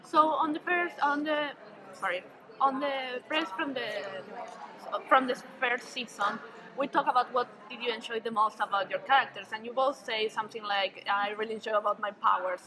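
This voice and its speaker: A woman interviewer with a high voice asks eager, slightly stumbling questions. She sounds a bit distant from the mic, with a convention crowd clearly heard behind her. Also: fairly even intonation, normal speed. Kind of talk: press-junket questions. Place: busy convention floor.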